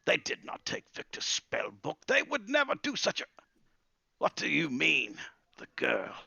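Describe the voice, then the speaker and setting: A brooding old wizard for a fantasy tabletop game. His voice is creaky and swoops high, drawing words out with theatrical menace and sorrow. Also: wildly swooping pitch, bright, forceful tone, no room sound, highs cut off, full character voice. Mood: agitated. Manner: theatrical, drawn-out, swinging between cries and hisses.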